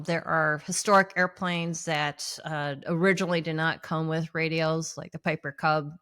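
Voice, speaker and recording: Low-pitched woman in a casual interview. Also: sharp tone, dry recording, studio quality. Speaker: pilot and flight instructor guest. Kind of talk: casual interview, recounting flying experiences.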